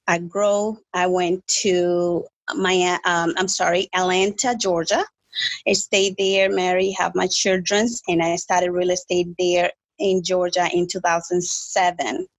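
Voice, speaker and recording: A woman's voice: on the low side, no room sound, low-quality recording. A woman from the Dominican Republic, a native Spanish speaker, introducing herself conversationally as an interview guest. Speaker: podcast guest, real estate agent.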